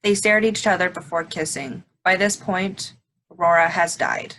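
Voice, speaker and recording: Bright low-voiced woman narrating, faint noise. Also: normal speed, poor audio. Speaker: stage directions reader. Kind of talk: scripted narration.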